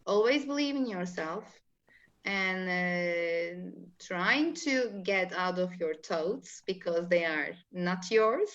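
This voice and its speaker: A woman from Turkey with a Turkish accent, speaking English as a coach being interviewed, explaining in an animated way with halting non-native phrasing. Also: lowish voice. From Istanbul, Turkey. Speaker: spiritual coach, interview guest. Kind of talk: explaining in a live online interview. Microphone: video call. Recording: dull, lo-fi video call audio.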